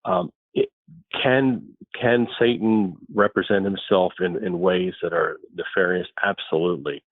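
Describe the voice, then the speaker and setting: A man's voice: deep voice, a little slow, no room sound. A man speaking as an interview guest, thoughtful and restarting his words as he starts each thought. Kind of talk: relaxed conversational interview. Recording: tinny phone-like line, dry.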